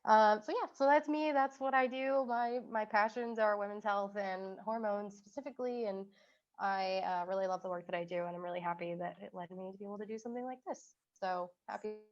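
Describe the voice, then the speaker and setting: A woman's voice: medium pitch, steady tone, brisk. A woman with a slight cold speaks as a guest doctor over a video call. She is friendly and informal as she explains things. The call audio sounds a little dull and muffled. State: has a cold. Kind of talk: casual video call talk.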